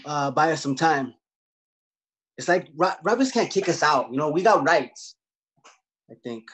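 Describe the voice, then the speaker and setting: A man's voice: on the high side, animated, a little slow, a bit bright. Blustering, stammering streetwise guy. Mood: casual, evasive. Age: young adult. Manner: stammering, slangy, repeats himself. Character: defensive, blustering grown man, streetwise cousin. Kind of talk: comedic table read acting. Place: home room on a video call. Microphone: laptop microphone.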